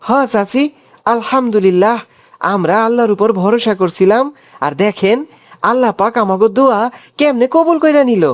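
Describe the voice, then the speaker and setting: A woman's voice: medium pitch, normal speed, close to an everyday voice. A woman voicing a caring, devout young village boy in a cartoon, earnest and childlike, with a rural Bangladeshi accent. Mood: earnest, reassuring.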